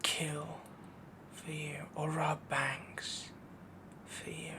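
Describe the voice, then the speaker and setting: A man playing a possessive, doting young werewolf boyfriend in a soft, breathy, half-whispered intimate roleplay audio, rambling and dominant as he coos endearments. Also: fairly high pitch, flat delivery, very slow, noisy background. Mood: jealous, possessive.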